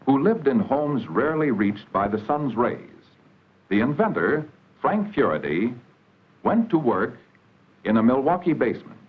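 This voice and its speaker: A man's voice: medium pitch, husky. An American man delivering formal, scripted 1940s educational documentary narration on an old film soundtrack. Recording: dull, band-limited 1940s film soundtrack.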